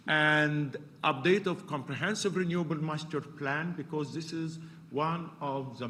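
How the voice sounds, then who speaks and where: Male panelist, loud and halting, presenting in a reverberant lecture hall with faint noise. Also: mid-range voice. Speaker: panel speaker. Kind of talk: conference presentation.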